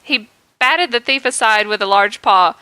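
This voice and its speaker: A woman's voice: medium pitch, normal speed, bright, forceful tone, clear, resonant tone, no echo. A woman hosting a podcast, reading a comic story aloud in a playful way and laughing at the text, into a podcast microphone. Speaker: podcast host.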